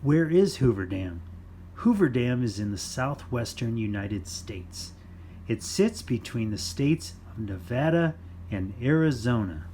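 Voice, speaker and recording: A man reading a children's nonfiction book aloud in simple, deliberate phrases for young listeners. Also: medium pitch, sing-song, warm tone, slight background noise. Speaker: children's storytime reader.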